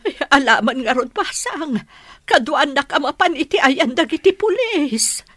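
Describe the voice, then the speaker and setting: An actress playing a worried mother in a radio drama, with a husky voice. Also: high voice, expressive, a little slow, fairly bright tone, dry recording, studio quality. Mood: anxious. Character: worried mother sharing news with her daughter.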